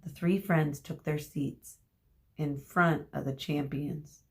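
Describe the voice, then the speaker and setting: Slow-paced woman reading to children. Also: deep voice, steady tone. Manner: explains words and asks listeners questions. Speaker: teacher reading a children's book aloud. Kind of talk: expressive read-aloud with explanatory asides to children.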